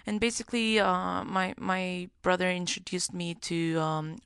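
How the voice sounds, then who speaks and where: Female musician guest, Greek accent, low and smooth with some fry, halting casual answers. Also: level tone, fairly bright tone, no room sound, studio quality. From Greece. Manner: halting, searching for words in a second language. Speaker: singer-songwriter, podcast guest.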